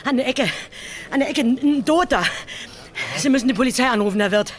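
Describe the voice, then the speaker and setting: A woman's voice: high voice, fairly quick, natural voice. An actress playing a garrulous, nosy local woman in a radio crime play, colloquial with a Berlin accent and repeating herself in bursts. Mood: agitated, shocked. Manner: colloquial, garrulous, stammering repetitions when excited. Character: chatty, nosy working-class older local woman, crime witness.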